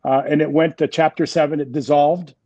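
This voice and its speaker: French Canadian executive, 60s, mellow dry voice, lo-fi sound, halting with fillers. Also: male voice, fairly high pitch, level tone, dry recording. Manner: hesitant, many fillers and restarts. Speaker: guest executive. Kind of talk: interview conversation.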